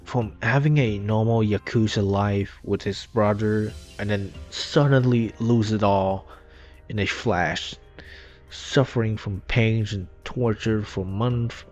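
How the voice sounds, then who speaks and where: A man's voice: fairly low pitch, a little mellow. A man narrating an informal scripted story retrospective in loose, non-standard grammar, recorded on an inexpensive microphone. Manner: non-standard grammar with dropped verb endings. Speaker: video narrator. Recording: dull lo-fi cheap mic, music behind. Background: music.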